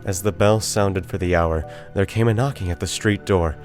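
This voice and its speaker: Man acting a theatrical, deep-voiced gothic murderer. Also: music in the background, natural voice. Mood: smug, composed. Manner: theatrical, deliberate phrasing with drawn-out words. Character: guilt-crazed murderer, feverishly confident, unraveling into frantic confession. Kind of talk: dramatic reading of a gothic horror story.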